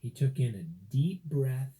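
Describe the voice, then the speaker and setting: A woman reading a children's story aloud expressively, with dramatic, drawn-out phrasing. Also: very deep voice, warm tone.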